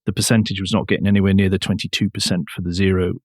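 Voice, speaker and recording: Male co-host for conversational news analysis, lower register, no room sound. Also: little pitch movement, rapid-fire, no room sound, studio quality. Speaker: podcast co-host.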